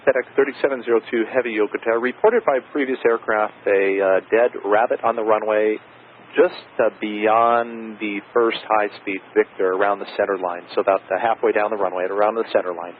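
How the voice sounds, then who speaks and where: Low-voiced tower controller on tinny radio. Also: male voice, steady tone, fairly quick. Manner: procedural phrasing mixed with casual, hesitant asides. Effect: aviation radio. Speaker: airport tower air traffic controller. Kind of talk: air traffic radio instructions. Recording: narrow, tinny aviation radio with faint static.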